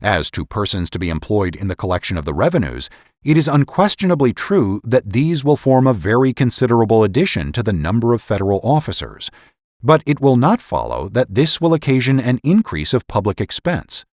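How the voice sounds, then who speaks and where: A man narrating a dramatic reading of an old political essay in a formal, measured, emphatic oratorical manner. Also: medium pitch, big pitch swings, darkish tone, dry recording, clean studio sound. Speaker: podcast host and narrator.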